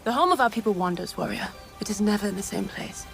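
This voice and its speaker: An actress playing a calm, reverent female alien clan guide with a deliberate, poetic delivery in a video game cutscene. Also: mid-range voice, creaky. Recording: music behind the voice.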